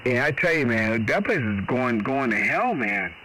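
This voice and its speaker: A middle-aged man rambles in a folksy, slightly salty way, as if chatting with a fellow radio hobbyist. His pitch is average for a man. A faint layer of radio noise sits behind the voice. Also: big pitch swings, moderate pace, pushed and bright, low-quality recording. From Boston. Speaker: amateur radio operator. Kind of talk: casual radio chat.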